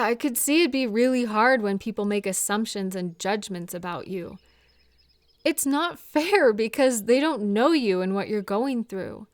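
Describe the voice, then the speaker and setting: A woman playing a caring, slightly awkward friend in a comfort audio roleplay, speaking one-on-one in a gentle, earnest, hesitant and reassuring way. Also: medium pitch, no echo, natural voice. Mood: sympathetic.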